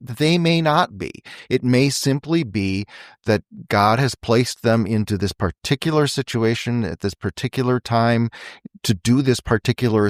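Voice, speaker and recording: A man of middling pitch reflects aloud on a faith talk show, his intonation rising and falling expressively. He asks thoughtful rhetorical questions. The recording is close and dry. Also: no room sound. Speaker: podcast co-host. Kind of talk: reflective discussion.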